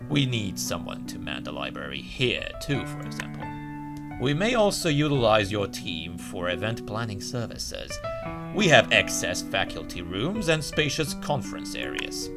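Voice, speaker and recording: A man's voice: fairly low pitch, huge pitch swings, normal speed, vocal fry, over music, exaggerated voice. A man voicing a polite, earnest assistant principal in a visual novel, with formal, encouraging phrasing. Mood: businesslike.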